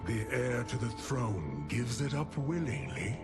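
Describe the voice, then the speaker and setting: Sneering haughty villain, drags words out, loud game music behind. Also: male voice, medium pitch, very animated, measured pace. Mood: suspicious. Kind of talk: dramatic video game cutscene acting.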